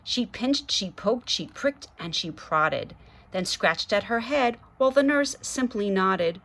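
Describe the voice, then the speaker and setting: Expressive woman reading a children's story. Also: medium pitch, slow pace. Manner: rhythmic, dramatic, addressing children. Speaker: guest storybook reader. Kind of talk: expressive children's read-aloud, chatting to listeners.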